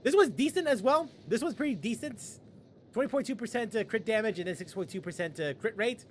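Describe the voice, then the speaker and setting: High, animated male game streamer. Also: brisk, a little hiss or hum. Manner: rambling, laughs often, restarts sentences. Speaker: gaming streamer. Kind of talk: casual live-stream commentary.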